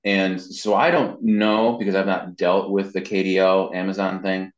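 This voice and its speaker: Deep-voiced man in a roomy, muffled recording. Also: fairly even intonation, normal speed. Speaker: interview guest, web marketing consultant. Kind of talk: casual explanatory talk. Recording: roomy echo, dull muffled sound.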